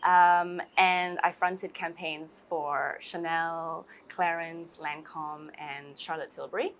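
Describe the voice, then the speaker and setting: A young woman from Singapore in her twenties talks casually as an interview guest. Her voice sits somewhat low, and her sentences wander, full of "like" and "you know". She is recorded outdoors by a pool. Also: steady tone, slow, slight background noise. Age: 20s. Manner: chatty, says "like" and "you know" often.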